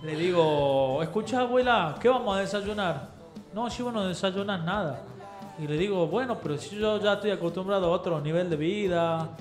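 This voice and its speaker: A man's voice: high voice, normal speed. A young man with an Argentine accent, hosting a radio show and joking with his co-hosts in a studio. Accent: Argentine Spanish. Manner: playful, boastful, mock Italian. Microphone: studio mic with headphones. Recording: roomy studio with music behind.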